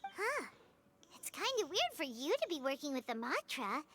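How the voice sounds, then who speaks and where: A woman's voice: sits high, huge pitch swings, moderate pace, background noise. A woman voicing a small, childlike fairy companion in a video game, perky, cheeky and exclamatory. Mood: curious, teasing. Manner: exclamatory, teasing.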